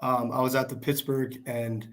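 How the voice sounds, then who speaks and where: Hoarse young American man chatting. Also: mid-range voice, little pitch movement, moderate pace. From Pennsylvania, United States. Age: 20s. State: hoarse from shouting. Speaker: guest, small business worker. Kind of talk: casual chat. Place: video call.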